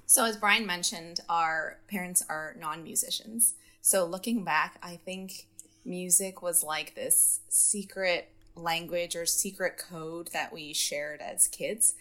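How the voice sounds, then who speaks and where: A woman's voice: lowish voice, steady tone, takes it slowly, bright, forceful tone. A young woman, a pianist, answering interview questions in a reminiscing, storytelling way. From Canada. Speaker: guest pianist.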